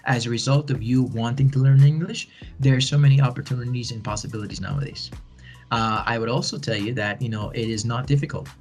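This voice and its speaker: A man's voice: mid-range voice, steady tone, fast talker, a little mellow, faint music behind. Podcast guest, male, 40s, earnest and encouraging, repeats key phrases. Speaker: podcast guest, bilingual educator. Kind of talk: conversational interview answers.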